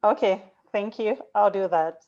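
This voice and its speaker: A woman's voice: mid-range voice, unhurried, a little mellow, lo-fi sound. A woman guest and founder telling her story earnestly, with many filler words. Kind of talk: personal story in an interview.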